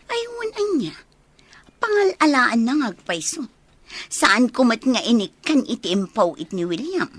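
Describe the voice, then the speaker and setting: A woman acts out a feisty, questioning younger Filipino villager with an Ilocano accent. The voice is fairly high and animated, recorded very dry, as in a comedy radio drama. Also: a little slow, husky, no echo, exaggerated voice. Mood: suspicious.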